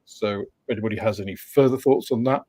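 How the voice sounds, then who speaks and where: A man's voice: lowish voice, fast, mellow, breathy edge. A man hosting a casual livestream chat, welcoming viewers in a meandering, hesitant way with frequent filler sounds and light chuckles. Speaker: host of a model-building livestream.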